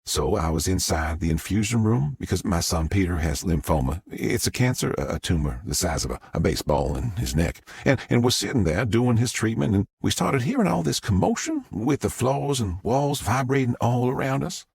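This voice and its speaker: A man voicing an excitable middle-aged Southern mother who rambles through her story in a drawl. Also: lowish voice, moderate pace, creaky, few pauses, breathy edge, no echo, heavily acted. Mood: excited. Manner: rambling, breathless storytelling with restarts.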